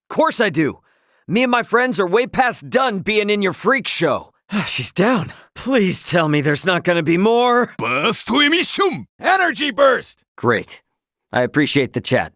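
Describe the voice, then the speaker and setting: Young adventurer hero, easygoing, cocky yet loyal, wry casual delivery, mid-pitched, very animated intonation, dry close sound. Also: male voice, dry recording. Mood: fired up, then earnest. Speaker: video game voice actor. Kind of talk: acted video game dialogue.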